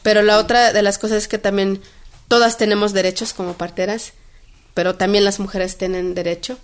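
A woman midwife relays what a colleague has said, speaking in a very flat, level tone. She hesitates constantly, reaching for fillers and restarting her phrases. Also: medium pitch, a little fast, clear, resonant tone. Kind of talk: interview answer.

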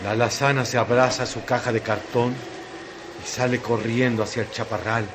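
A man narrating a literary radio drama in solemn, measured phrases. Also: mid-range voice, fairly even intonation, lightly acted. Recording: noisy track with ambient effects.

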